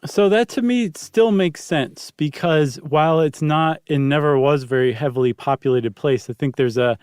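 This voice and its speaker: A man's voice: fairly high pitch, moderate pace, darkish tone, smooth, no echo, studio quality. A man co-hosting a podcast, explaining things in a casual, chatty and descriptive way. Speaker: podcast co-host.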